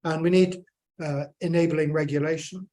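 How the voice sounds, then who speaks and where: A man's voice: on the high side, steady tone, normal speed, dark tone. A man, speaking at a panel as a plant scientist, hesitant and full of fillers and restarts. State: croaky voice. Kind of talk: unscripted panel remarks.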